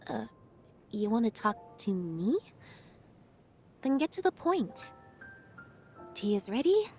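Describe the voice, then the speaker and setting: A woman voicing an aloof, quiet young female magician's assistant in a video game, deadpan, reserved and understated. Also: a little high, slow pace, soft background music, exaggerated voice. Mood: reserved, curt. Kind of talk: video game character voice lines.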